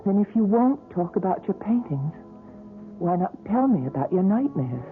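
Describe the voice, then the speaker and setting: An actress playing a gentle, coaxing companion. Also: female voice, mid-range voice, very animated, normal speed, natural voice. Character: gentle woman, the painter's concerned companion. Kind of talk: 1970s radio drama acting. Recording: tinny old radio broadcast, faint music.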